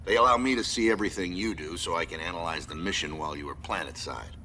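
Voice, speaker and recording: An actor playing a stern military commander in a video game, giving formal, measured and authoritative mission briefings. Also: male voice, low voice, pushed and bright, a little hiss or hum. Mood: grave, authoritative. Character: stern male military commander briefing a special forces soldier. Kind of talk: scripted game cutscene acting and mission guidance.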